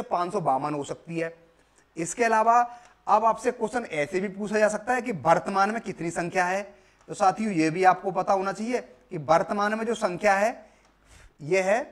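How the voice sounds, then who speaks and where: A young man from India teaching a lesson, repeating key points and posing questions that he answers himself. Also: high-pitched. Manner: repetitive, emphatic, asks and answers own questions. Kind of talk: classroom lecture on a video lesson. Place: indoor classroom at a board.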